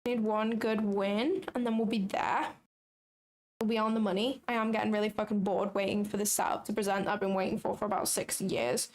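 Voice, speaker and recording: A woman's voice: mid-range voice, fairly even intonation, fairly quick. A young adult woman chatting casually on a trading livestream, blunt and sarcastic, swearing freely.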